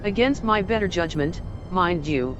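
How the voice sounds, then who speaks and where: An actress playing a proud, stern warrior envoy of a resistance in a fantasy video game, with clipped, haughty, formal delivery. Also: female voice, lowish voice, lively intonation, measured pace, dull audio. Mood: cool, haughty. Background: loud game music.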